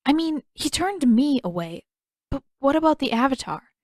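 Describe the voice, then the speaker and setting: A woman's voice: high voice, big pitch swings, moderate pace, no room sound, voice pushed up. A narrator plays an earnest teenage girl, a young fighter in a fantasy story. She sounds hesitant at first yet stubborn and determined underneath. The recording is very dry, with no room around the voice. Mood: hesitant, probing.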